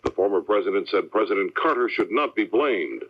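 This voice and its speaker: A man's voice: lowish voice, expressive, fairly quick, muffled recording. An American man anchoring a scripted 1970s network radio newscast, heard on a vintage AM recording.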